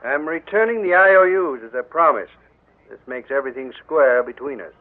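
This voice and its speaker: An actor playing a stern, measured older father and businessman in an old radio drama. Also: on the high side, animated, phone-quality sound, natural voice. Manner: measured, authoritative. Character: stern older male railroad man, a father. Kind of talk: 1940s radio acting. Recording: thin old radio broadcast.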